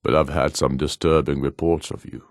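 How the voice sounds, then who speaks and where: An actor playing a proud, commanding middle-aged rebel jarl in a fantasy video game, speaking in solemn, imperious, measured oratory. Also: male voice, rumbling low, steady tone, dry recording, clean studio sound. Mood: stern, commanding.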